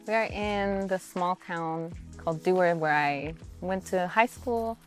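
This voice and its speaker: A woman singer speaks reflectively about home while standing outdoors by a house, sometimes rushing ahead in quick bursts. Her voice is low. Faint music sits under her words. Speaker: interviewed singer. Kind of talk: casual interview answers.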